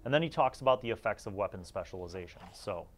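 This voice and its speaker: A man's voice: lowish voice, expressive, fast, slight background noise. A man hosting a retro tabletop gaming review, mixing read-aloud excerpts with informal, chatty commentary. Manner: informal, slangy, chatty asides.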